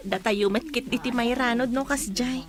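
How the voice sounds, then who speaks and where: A woman's voice: fairly high pitch, takes it slowly. An actress playing a young schoolgirl daughter who talks politely and dutifully in a radio drama. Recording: radio drama with crowd behind.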